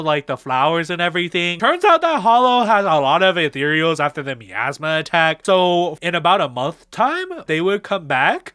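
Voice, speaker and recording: A man voicing an excitable, chatty, teasing young woman in an improvised visual novel parody. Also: high-pitched, smooth, no echo, clean studio sound. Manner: gushing, chatty.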